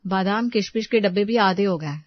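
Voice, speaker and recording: A woman's voice: mid-range voice, normal speed, no room sound, close to an everyday voice. Woman playing young indian housewife in a family story, dutiful n fretting, dry muffled recording. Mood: worried. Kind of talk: acted Hindi family story dialogue.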